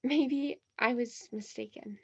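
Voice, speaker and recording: Actress as young romantic innocent. Fairly high voice, earnest and wistful, stage play delivery, slightly muffled audio. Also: female voice, slow pace, slightly rough, heavily acted. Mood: shy, wistful. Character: naive, earnest, dreamy romantic young woman.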